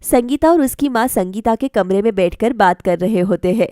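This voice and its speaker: A woman's voice: mid-range voice, moderate pace, mellow, dry recording, studio quality. A woman narrating a scripted bedtime story for a cartoon.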